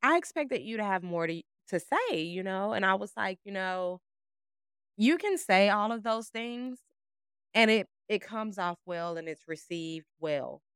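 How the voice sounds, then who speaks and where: A woman's voice: medium pitch, animated, measured pace, fairly bright tone, clear, resonant tone, no room sound, studio quality. A woman co-hosting a podcast, talking candidly about work and acting out remembered exchanges. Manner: candid, storytelling with quoted dialogue. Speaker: podcast co-host. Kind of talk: casual conversation.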